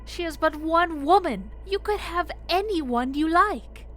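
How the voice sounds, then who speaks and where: A disapproving goddess in an audio drama. Her high voice is expressive yet slow-paced, urgent and scolding, with music underneath. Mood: persuasive. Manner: urgent, admonishing. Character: stern, disapproving goddess urging duty and sacrifice.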